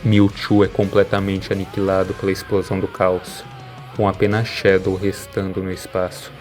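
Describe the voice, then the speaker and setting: A man's voice: low voice, completely flat delivery, normal speed, a little mellow. A man with a Brazilian accent delivering scripted narration as the narrator of an analysis video. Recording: music behind the voice. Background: background music.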